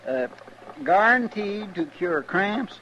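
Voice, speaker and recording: A man's voice: high-pitched, very animated, takes it slowly, bright, forceful tone, full character voice. An actor playing a gullible, hypochondriac frontier townsman in a 1950s radio western, with a rambling, homespun rural Western American drawl. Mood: folksy, earnest. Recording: dull old radio recording, slight hiss.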